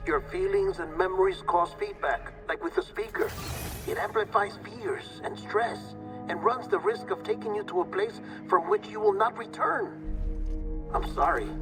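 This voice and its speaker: A man playing a grave technical expert in a sci-fi video game, speaking in a measured, somber, explanatory way. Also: high-pitched, far from the mic, rough recording. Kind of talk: video game cutscene acting.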